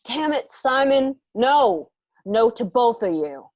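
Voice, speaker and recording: A woman's voice: on the high side, very animated, takes it slowly, a little mellow, no room sound. A woman playing a guarded, fierce frontier woman in a Western radio play, with blunt, rustic speech. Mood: shocked, angry. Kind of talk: online radio play acting.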